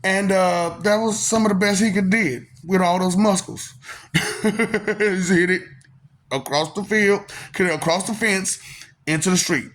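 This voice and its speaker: A man in his thirties speaks in a soft, polite-sounding voice, pitched a little higher than most men. He tells a personal story in a laid-back, slangy way, circling back with fillers and breaking into laughs along the way. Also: unhurried. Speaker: vlogger telling a personal story. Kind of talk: casual storytelling monologue.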